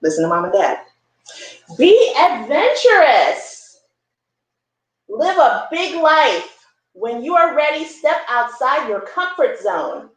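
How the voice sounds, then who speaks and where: A woman hosting a children's story time, reading a picture book aloud with expressive, encouraging asides and simple explanations. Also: high-pitched, takes it slowly, long pauses, reverberant, rough recording.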